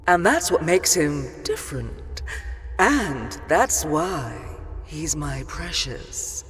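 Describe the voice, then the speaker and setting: A woman playing a possessive, smitten and imperious female dusk elf vampire in a dark fantasy audio drama, theatrical and lingering over her words. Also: deep voice, big pitch swings, takes it slowly, a crowd in the background, exaggerated voice.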